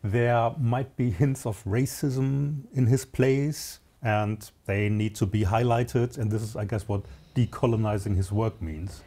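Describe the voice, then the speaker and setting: A woman interviewing a guest, asking gentle questions and murmuring agreement as she listens. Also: extremely low pitch, measured pace, few pauses. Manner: frequent murmured backchannel, soft questions.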